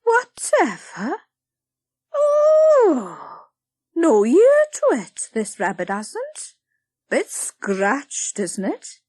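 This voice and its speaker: A woman voicing a nosy, greedy middle-aged village busybody who talks loudly and gushes with exclamations. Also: high-pitched, wildly swooping pitch, extremely slow, no echo, clean studio sound, pitched above the performer's own voice, full character voice. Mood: indignant. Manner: loud, gushing, exclaiming.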